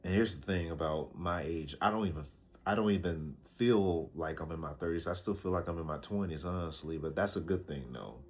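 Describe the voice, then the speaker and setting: A man in his early thirties talking to a camera, giving casual life advice in a slow, reflective way, often repeating himself with fillers and restarts. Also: deep voice. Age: 30s. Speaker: vlogger.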